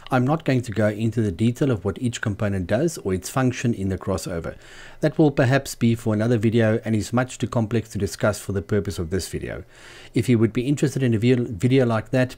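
Man, low pitch, dark relaxed tone, measured pacing of explanations, scripted instructional voice-over. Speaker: host of a DIY loudspeaker channel.

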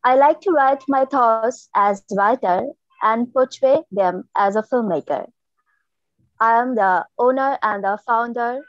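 Bangladeshi woman writer reading her work, very unhurried delivery, dull video-call sound. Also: on the high side, dry recording. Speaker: writer and filmmaker. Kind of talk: literary reading and presentation. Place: indoor room, on a video call.

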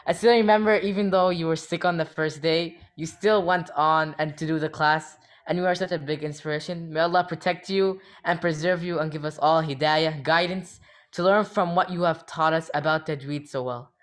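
A man's voice: fairly high pitch, fairly even intonation, normal speed. A young man giving an earnest short testimonial of thanks as a student on a video call.

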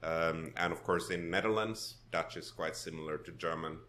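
Man, very deep voice, relaxed storytelling, podcast guest. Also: moderate pace. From Finland. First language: Swedish. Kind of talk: casual interview.

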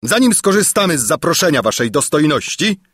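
A man's voice: on the high side, sing-song, brisk, fairly bright tone, breathy edge, dry recording, studio quality, voice pushed up, full character voice. A man voicing a knight captain in a storybook, giving a firm, emphatic and courtly address. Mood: firm formality. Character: formal, firm knight captain delivering royal message. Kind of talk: theatrical fairy-tale storybook reading.

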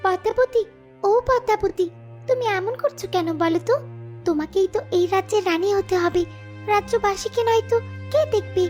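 Magical bird for a fairy tale cartoon: extremely high, earnest, coaxing, over prominent music. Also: normal speed, a little mellow. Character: magical talking bird, gentle wise royal advisor.

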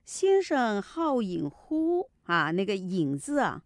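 Animated woman teacher, very dry sound. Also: medium pitch, slow, no room sound. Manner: instructive, repeats key points, demonstrates syllables. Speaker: Mandarin teacher and contest commentator. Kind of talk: explanatory teaching commentary.

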